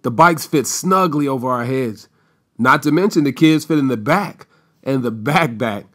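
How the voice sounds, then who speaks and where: A man playing a brisk, chipper suburban father of the 1950s in a radio play. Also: mid-range voice, expressive, a bit bright, close to an everyday voice.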